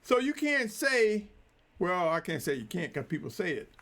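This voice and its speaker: Male preacher, high-pitched, deliberate and emphatic sermon delivery. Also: very animated, a bit bright.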